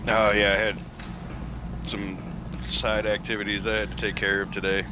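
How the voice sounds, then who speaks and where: Casting: man, fairly low voice, casual roleplay business owner, noticeably noisy outdoor recording. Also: little pitch movement, normal speed. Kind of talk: casual in-game roleplay conversation. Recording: clearly noisy, outdoor vehicle ambience.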